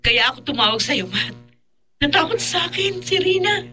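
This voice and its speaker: A woman's voice: high-pitched, very animated, normal speed, pushed and bright, soft background music, full character voice. A woman playing a bold, defiant young woman with newfound magic powers in a radio horror drama. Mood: scornful. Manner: bold, challenging.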